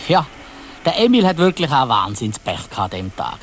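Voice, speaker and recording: A man's voice: mid-range voice, very animated, moderate pace, a little hiss or hum. A man with a Swiss German accent narrating a dramatised children's story, addressing young listeners directly.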